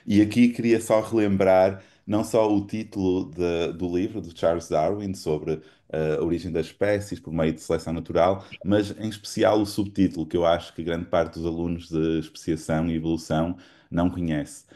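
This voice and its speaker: A middle-aged man from Portugal with a European Portuguese accent, giving an academic seminar lecture in a measured, explanatory way with frequent fillers and restarts. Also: low voice, steady tone, warm tone. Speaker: guest lecturer.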